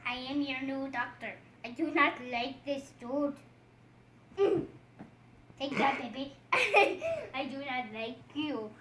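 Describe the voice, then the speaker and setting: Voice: high voice, expressive, takes it slowly. A child improvising a puppet show, theatrically acting out the characters with exclamations. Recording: echoey room with some background noise.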